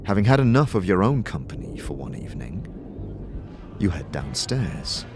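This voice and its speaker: A man with a fairly low voice narrates a scripted fantasy audio drama. His storytelling is measured and dramatic, with lively rises and falls. Faint music sits behind him. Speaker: narrator and game master.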